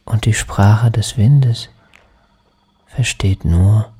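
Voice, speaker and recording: An actor playing a dreamy lost soul in a poetic radio drama, speaking in halting, half-whispered phrases with long pauses. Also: male voice, fairly low pitch, mellow, slightly rough, heavily acted. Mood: trance-like, solemn. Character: lost soul, a dreamy male spirit seeking redemption. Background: music.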